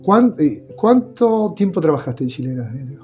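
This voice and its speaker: A man's voice: fairly high pitch, big pitch swings, moderate pace, warm tone, soft background music. A man hosting a casual interview, enthusiastic and chatty. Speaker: host, interviewer.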